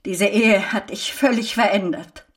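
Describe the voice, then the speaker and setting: An elderly mother in a radio drama, husky and slow in speech. She is indignant and reproachful toward her grown son, with a mid-pitched voice. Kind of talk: radio play acting. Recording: studio radio play.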